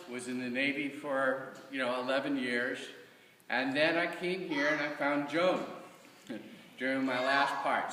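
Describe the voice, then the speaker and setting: A middle-aged man with an American accent gives an informal, earnest personal testimony to a congregation in a halting, conversational way. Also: mid-range voice, pushed and bright, slight background noise. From Kansas, United States. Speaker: church member giving a stewardship talk. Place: large reverberant room, speaking from off the mic. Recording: echoey church hall, distant mic.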